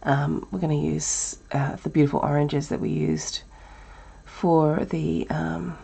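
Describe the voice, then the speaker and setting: Woman, Australian accent, croaky, breathy, mellow, art lesson. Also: low voice, slow pace. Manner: relaxed, thinking aloud with many ums. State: croaky, breathy voice from a chest cold. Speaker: art teacher. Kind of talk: live drawing tutorial.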